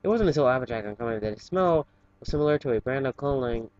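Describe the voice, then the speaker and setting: Low-voiced man reading, dull lo-fi recording. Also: lively intonation, fast, no echo. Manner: informal, stumbles over words. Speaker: fan fiction reader for an online channel. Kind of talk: amateur story reading with casual asides.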